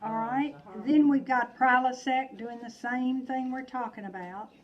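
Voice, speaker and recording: A woman instructor lecturing a class, thinking aloud with frequent pauses and restarts. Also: high-pitched, fairly even intonation, lo-fi sound. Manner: unhurried, thinking aloud, hesitant. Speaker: nursing instructor.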